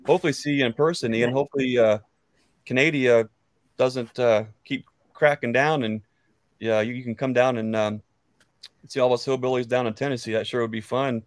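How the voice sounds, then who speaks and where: A man's voice: medium pitch, fairly even intonation, moderate pace, dry recording. An American man in his thirties, chatting casually in a friendly, meandering way with hesitations, close to the microphone. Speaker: beekeeper, guest on a live chat. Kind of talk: casual chat. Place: book-lined room at home. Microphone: close studio mic on an arm.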